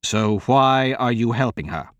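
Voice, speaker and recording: A man voicing a brooding, cynical rogue in a fantasy audiobook, speaking curtly in a dry deadpan. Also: a little slow, no echo, natural voice. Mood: disapproving. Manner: low-key, dry, deadpan. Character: cynical, brooding male rogue, curt and unsentimental.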